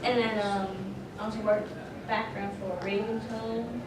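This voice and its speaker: A woman's voice: fairly high pitch, flat delivery, a little slow. A woman chatting casually as a performer on a convention panel. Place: convention panel room, recorded from afar. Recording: echoey noisy room, distant microphone.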